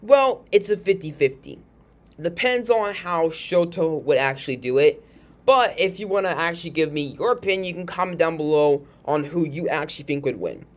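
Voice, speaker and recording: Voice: medium pitch, lively intonation, moderate pace. A video host giving casual, rambling commentary on character matchups, often checking in with the listener after making a point. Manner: rambling, informal, frequently tags statements with a questioning check.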